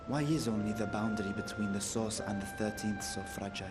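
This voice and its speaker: An actor playing a grave, cautious sage in a video game, with solemn, formal and archaic diction. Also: male voice, lowish voice, fairly even intonation, fairly quick, music in the background, lightly acted. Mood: grave, questioning. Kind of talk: scripted video game dialogue.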